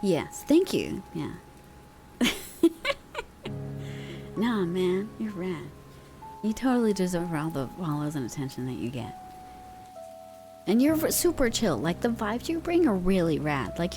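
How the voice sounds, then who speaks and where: A woman's voice: mid-range voice, dark tone. A young woman streamer chatting casually with her viewers in a slangy, laid-back way, using pet names, on a close microphone.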